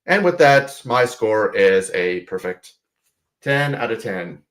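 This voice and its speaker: A man's voice: lowish voice, very animated, slow, fairly bright tone. A man on a video call giving a rambling, enthusiastic film review with many restarts. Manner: rambling, full of restarts and fillers. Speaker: panelist. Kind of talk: casual film review discussion.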